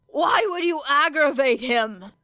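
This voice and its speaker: A woman voicing a sardonic teenage boy who mocks and gives flat commands. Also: high-pitched, slow pace, voice pushed up, exaggerated voice. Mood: mocking.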